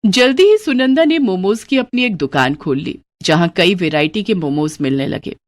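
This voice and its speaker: A woman narrating a children's cartoon moral story in steady, simple scripted storytelling. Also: mid-range voice, moderate pace.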